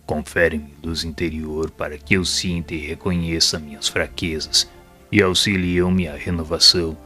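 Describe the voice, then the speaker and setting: An actor playing a solemn, repentant spirit mentor in an audio drama, speaking in a grave, formal and measured way. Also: male voice, deep voice, level tone, bright, forceful tone, soft background music. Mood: grave, humble. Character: solemn, repentant male spirit assistant and mentor, a former doctor. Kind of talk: audio drama dialogue, formal literary monologue.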